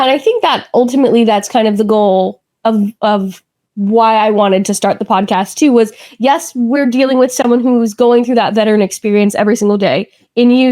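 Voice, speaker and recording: Female co-host, bright higher voice, dry recording, sincere delivery. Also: no echo. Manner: earnest, heartfelt. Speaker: podcast co-host. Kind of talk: casual interview talk.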